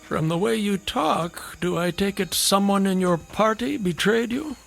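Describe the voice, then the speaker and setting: An actor playing a wry, courteous, needling gentleman in a radio drama, with ornate and theatrical phrasing. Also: high voice, unhurried, vocal fry, soft background music, full character voice. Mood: wry, sardonic.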